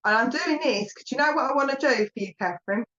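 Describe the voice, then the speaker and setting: A woman with an Essex accent giving a live psychic reading, chatty and rambling, talking warmly to viewers by name. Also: high-pitched, fast talker, highs cut off. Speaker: psychic medium. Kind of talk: live online mediumship reading.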